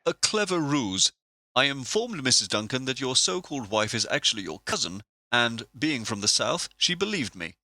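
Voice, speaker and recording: A man playing a dry, prim political colleague in a comedic audio drama, with deadpan, formal and precise delivery. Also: medium pitch, normal speed, dry recording, exaggerated voice. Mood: smug.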